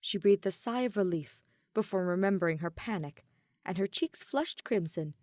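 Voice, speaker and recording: A woman narrating a fan fiction story in an even, measured storytelling manner. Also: mid-range voice, dry recording, clean studio sound. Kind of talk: audiobook narration.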